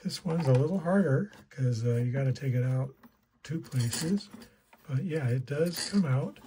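A man's voice: medium pitch, measured pace, mellow, a little hiss or hum. A middle-aged man giving casual hands-on tutorial commentary, thinking aloud in a tentative way. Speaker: repair video maker.

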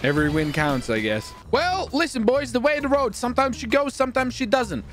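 Hyped gamer guy, highish voice that jumps all over the place, swears and yells while he plays. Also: a little fast, faint music behind. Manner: excitable, swearing, shouting outbursts, self-talk. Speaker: gaming video host. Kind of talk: excited gameplay commentary while roleplaying.

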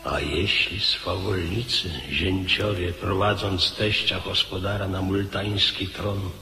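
A man's voice: low voice, fairly even intonation, slow, bright, forceful tone, heavily acted. A man playing a weary old military commander in a radio play, solemn and declamatory. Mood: grave, troubled. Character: weary old hetman commander pleading before his king. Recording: hall-like reverb, noise and hum.

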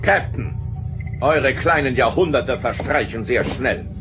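An actor playing an arrogant, mocking alien being in a science-fiction radio play, with pompous, archaic and theatrical delivery. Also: male voice, mid-range voice, unhurried, natural voice. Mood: lecturing, scornful. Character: arrogant, mocking, all-powerful alien being judging humanity. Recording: radio play with loud music underneath.

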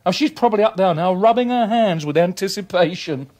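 An actor playing an irritable, wheedling English husband in a radio drama, blustering and stammering in colloquial speech. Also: male voice, high-pitched, lively intonation, brisk, darkish tone. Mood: resentful, sarcastic. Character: irritable, wheedling working-class husband, sarcastic and resentful. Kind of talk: 1980s British radio drama acting.

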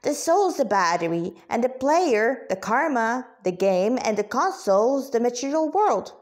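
A woman puts on a cheerful animal-eared creature from a story. It talks in a fairly high voice, chatty and keen to explain, with a pointed streak. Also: big pitch swings, unhurried.

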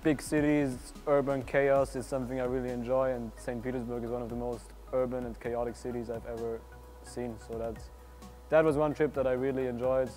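A young man with an Austrian German accent, speaking in a measured, articulate way in a documentary interview indoors. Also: medium pitch, flat delivery, a little mellow, faint music behind. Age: young adult. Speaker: exchange student. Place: indoors by a large window.

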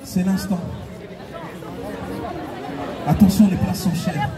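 A man's voice: high-pitched, steady tone, slow. A man hosting a concert on stage, bantering playfully with the audience, laughing often and urging everyone on. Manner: playful, teasing, laughs often, urges the crowd on. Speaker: singer and concert host. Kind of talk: stage banter with a convention crowd. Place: large indoor convention hall stage. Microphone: handheld stage mic through PA, filmed from audience. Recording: echoey hall PA from far off, loud crowd. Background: cheering crowd.

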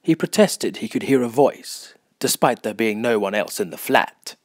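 A man playing a wry, taunting narrator who is a voice in someone's head, delivering deliberate scripted dramatic narration. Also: fairly low pitch, expressive. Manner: wry, deliberate, mocking storytelling.

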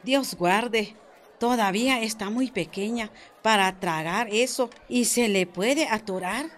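An actress playing a chatty, cautious village grandmother in a radio soap, with a Guatemalan accent and an expressive, folksy way of talking. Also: mid-range voice, slow, natural voice. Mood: alarmed. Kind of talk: radio soap opera acting.

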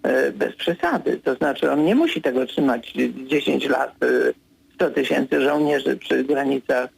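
An older man in his sixties, a political commentator in a radio studio, speaking in a measured way with frequent hesitations, pressing harder when he corrects someone. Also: medium pitch, lively intonation, creaky, husky, no room sound, low-quality recording. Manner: measured, hesitant with many fillers, grows insistent when correcting.

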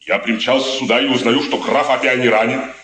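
A man's voice: mid-range voice, steady tone, brisk, a bit bright, natural voice. An actor playing a dignified, anxious older colonel and father in a 1950s radio play, formal and urgent. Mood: urgent worry. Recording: echoey, distant 1950s radio recording.

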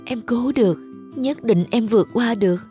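Cartoon expectant mother actress: young, suffering, determined, high pained voice. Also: moderate pace, dark tone, soft background music, close to an everyday voice. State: in pain. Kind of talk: cartoon dub acting.

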